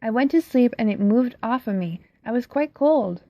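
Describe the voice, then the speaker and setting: A woman's voice: a little high, expressive, moderate pace, no echo. Polly, earnest imaginative girl, read by a woman. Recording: slightly dull, dry recording.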